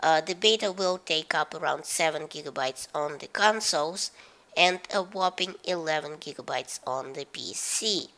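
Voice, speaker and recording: A gaming YouTuber woman with a very low voice and a sharp, forceful edge to it. She sounds stuffed up from a cold. She talks through the news in a halting way, full of fillers. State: nasal from a head cold.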